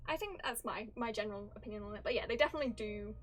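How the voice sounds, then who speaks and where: A woman's voice: on the high side, rapid-fire. A woman livestreamer giving casual, chatty game reaction commentary, thinking aloud as she goes. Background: soft game music.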